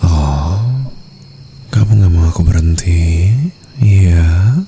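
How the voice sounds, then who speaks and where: Male actor as seductive Indonesian mafia husband, ASMR roleplay. Low, gravelly, doting and teasing, breathy up close, faint cricket ambience behind. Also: extremely slow, mellow, slight background noise, full character voice. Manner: intimate, teasing drawl, often dropping into breathy whispers.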